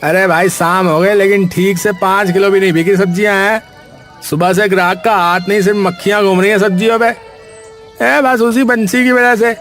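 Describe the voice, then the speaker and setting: A man's voice: high voice, fairly even intonation, normal speed, fairly bright tone, faint music behind. A man voicing a jealous, scheming village vegetable seller in a comic cartoon, with a blustery, colloquial way of talking. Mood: grumbling, suspicious.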